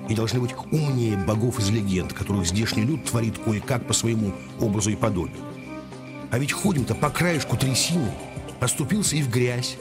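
A man playing a weary, wise older judge in a radio drama, speaking in a measured, paternal, admonishing way. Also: medium pitch, lively intonation, over music, close to an everyday voice. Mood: sorrowful, earnest. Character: weary, wise older male judge, secretly an Earth historian.

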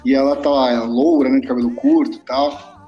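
A man's voice: mid-range voice, steady tone, fairly quick, muffled recording, soft background music. A man from São Paulo, chatting casually and rambling as a podcast co-host, swearing freely. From São Paulo, Brazil. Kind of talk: casual chat.

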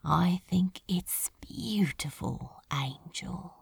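A cool, guarded male demon in a storybook, as a woman reader puts him on. He talks in casual, clipped phrases with a hissing edge and a tender streak, and his pitch moves widely. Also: lively intonation, extremely slow, gravelly, breathy edge, dry recording, clean studio sound, heavily acted. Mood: hushed, tender.

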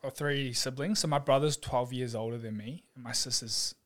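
A young man, a physiotherapist guest chatting casually on a podcast, relaxed and quick to laugh, with plenty of fillers. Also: mid-range voice. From New Zealand. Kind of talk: casual chat.

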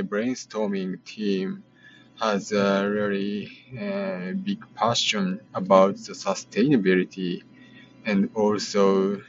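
Japanese-accented man, young adult, vlog diary; tired, halting, lots of "uh"s; dull recording with steady noise. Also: lowish voice. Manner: hesitant, many fillers and self-corrections. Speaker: vlogger. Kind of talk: casual spoken diary. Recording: muffled lo-fi with background noise.

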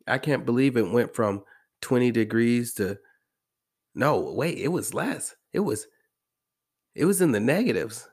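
An American man hosting a podcast, talking casually and repeating phrases for emphasis, with a congested, slightly hoarse voice from allergies. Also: mid-range voice, expressive, measured pace, pauses often, a little mellow. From American Midwest. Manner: conversational, emphatic repetition. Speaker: podcast host. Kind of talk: casual motivational monologue.

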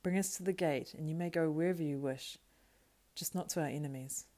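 A woman reading a story aloud and voicing a gentle, weary young male hero who speaks kindly and steadily. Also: low voice, a little fast, lightly acted. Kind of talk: audiobook character voice.

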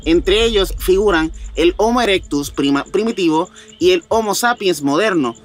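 A man's voice: high voice, animated, moderate pace. A man hosting a podcast, reading reports aloud and chatting informally with frequent question tags. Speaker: podcast host.

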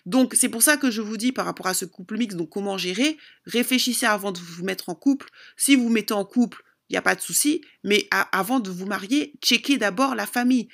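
A woman's voice: mid-range voice, a little fast, gravelly, few pauses, a bit bright. A woman speaking informally as a relationship advice vlogger, giving opinionated advice in an emphatic, insistent and repetitive way.

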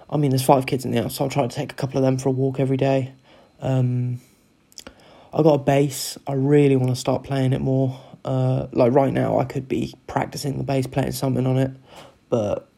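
A man with a croaky voice, rambling through a sweary, casual solo chat as a podcast host. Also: mid-range voice, warm tone. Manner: rambling, sweary, loses his train of thought.

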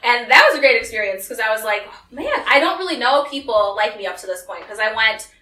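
A bright, forceful young woman talking to a small group in a room full of echo, a little back from the mic. She tells her story in a lively way and slips into other people's voices for the quotes. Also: a little high, fast talker. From United States. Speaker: speaker giving a talk. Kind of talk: personal talk to a small group. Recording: echoey room, voice from some distance.

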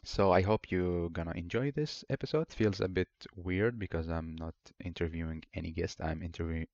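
A young man with an Iraqi Arabic accent hosts a solo podcast, telling his life story in a very low voice. He speaks earnestly and thoughtfully and often pauses to find his words. The recording is close and dry, with a slightly dull, muffled sound. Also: darkish tone, no echo. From Iraq. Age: 20s. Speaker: podcast host.

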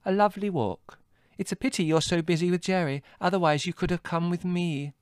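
A man's voice: on the high side, sing-song, normal speed, no room sound, studio quality, pitched above the performer's own voice. A man voicing a haughty young woman who speaks in an airy, arch, easily offended way.

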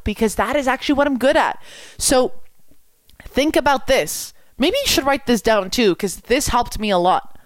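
Brief: young woman from Quebec, French native, higher voice, dry close sound, punchy coaching. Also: dry recording. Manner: energetic, rhetorical questions. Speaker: business coach. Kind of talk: coaching talk to camera.

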